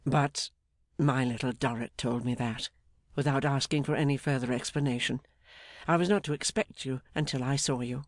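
A man voicing an earnest, gentle, grateful younger gentleman in an audiobook. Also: mid-range voice, fairly even intonation, moderate pace, no room sound, clean studio sound, natural voice. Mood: quiet. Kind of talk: dramatised audiobook character.

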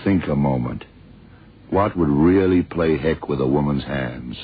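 An actor playing a dogged senior investigator, insistent and deliberate, in a 1970s radio drama. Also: male voice, very deep voice, breathy edge, full character voice. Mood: intent. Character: dogged, hunch-driven senior male investigator, dryly authoritative. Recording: tinny vintage radio recording with music.

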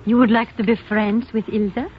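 A woman's voice: a little high, fairly even intonation, brisk, lightly acted. A woman playing a seductive, mysterious European femme fatale in a 1950s radio drama, with a Continental accent, formal phrasing and a coolly teasing, forward manner. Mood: flirtatious. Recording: tinny, narrow, hissy old radio recording.

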